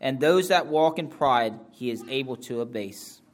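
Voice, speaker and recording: A man reading a scripture passage aloud in a slow, deliberate way with small stumbles. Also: medium pitch, animated. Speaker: scripture reader.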